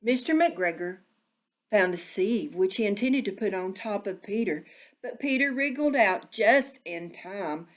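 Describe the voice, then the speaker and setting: Animated woman reading a children's storybook. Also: mid-range voice. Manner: animated, deliberate phrasing for young children. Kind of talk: expressive storybook narration.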